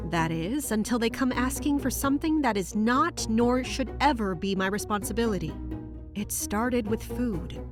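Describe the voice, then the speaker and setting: An actress playing a dutiful, exasperated female palace guard captain in an audio drama, speaking in a wry, formal way. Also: fairly high pitch. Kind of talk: audio drama monologue and dialogue.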